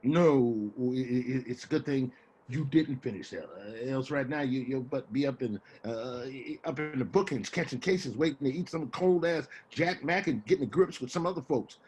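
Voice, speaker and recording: An actor playing a rambling, wheedling homeless man with American street slang and a husky, stammering delivery, in a stage play reading. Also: medium pitch, moderate pace, a little mellow, soft background music, lightly acted. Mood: coaxing. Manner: stammering, repeats words, folksy street slang.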